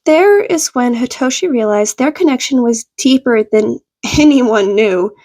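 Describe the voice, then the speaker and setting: Woman, fan fiction narrator, fairly high voice, very unhurried scripted reading. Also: animated, a little mellow. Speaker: podfic narrator.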